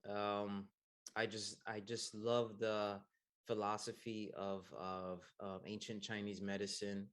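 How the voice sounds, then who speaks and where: A soft-spoken man in his thirties, speaking in a fairly low voice. He answers at an easy pace with many ums, and he laughs easily between his thoughts. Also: flat delivery, slow pace. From United States. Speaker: acupuncturist guest. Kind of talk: casual interview answers.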